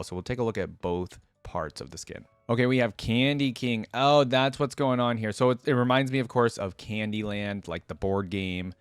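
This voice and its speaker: Male reaction host, lowish register, informal and conversational, thinking out loud, close dry sound. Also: clear, resonant tone, no room sound. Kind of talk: casual reaction commentary.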